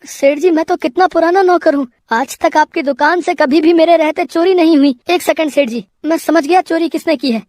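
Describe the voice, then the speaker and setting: Sly male shop assistant, played by a woman, high-pitched, ingratiating, cartoon moral tale. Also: brisk, hardly any pauses, a little mellow, no room sound, studio quality. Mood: anxious, sly. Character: sly young male shop worker, eager to blame others. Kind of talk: cartoon moral story voice acting.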